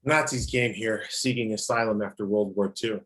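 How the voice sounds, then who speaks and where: A man playing a menacing, measured dictator in an amateur table read. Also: on the low side, fairly even intonation, fairly bright tone, lightly acted. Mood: grave, measured. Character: menacing male dictator president boasting of atrocities.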